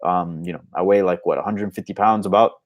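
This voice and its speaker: Male host, from Colombia, Spanish first language, lower voice, casual conversational live Q&A delivery. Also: fairly even intonation, fairly quick, dull audio. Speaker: host of an MMA live Q&A show. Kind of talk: casual unscripted live Q&A commentary.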